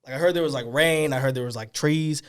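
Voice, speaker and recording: A young man from Hawaii and the Bay Area, a podcast guest, telling stories in relaxed, slangy casual chat. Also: fairly high pitch, quick pace. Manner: laid-back slangy storytelling.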